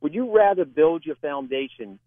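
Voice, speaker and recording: A man's voice: a little high, lively intonation, normal speed, no room sound. Male sports radio caller on thin phone line. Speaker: radio call-in listener. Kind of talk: casual sports question.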